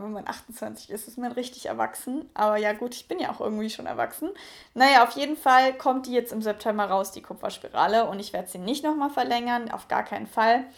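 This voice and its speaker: A woman's voice: fairly high pitch, vocal fry. A woman in her late twenties talking casually and frankly to her channel viewers, with frequent fillers and restarts. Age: 20s. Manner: chatty, frank, many fillers. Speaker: YouTuber. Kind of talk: casual personal vlog.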